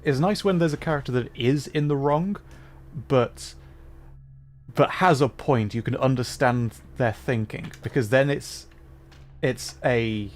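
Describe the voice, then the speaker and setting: A man chatting casually on a livestream while commenting on his gameplay, often thinking aloud haltingly. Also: mid-range voice. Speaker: livestreamer playing a game. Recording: faint background noise, game ambience.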